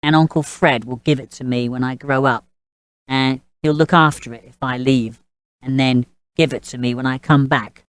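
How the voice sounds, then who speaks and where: A woman's voice: rumbling low, steady tone, normal speed, clean tone, dry recording. Woman voicing an earnest little boy, close and dry. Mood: earnest, obedient. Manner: earnest, childlike questioning. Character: earnest, tearful six-year-old boy, puzzled and obedient. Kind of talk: audio drama acting.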